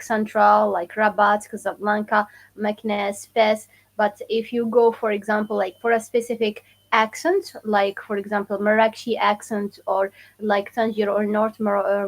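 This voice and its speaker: A young woman from Morocco with a Moroccan accent, teaching her dialect on a live stream and slowly repeating phrases for learners. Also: medium pitch, gravelly, rough recording. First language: Moroccan Arabic. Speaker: language teacher. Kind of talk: live-stream language lesson.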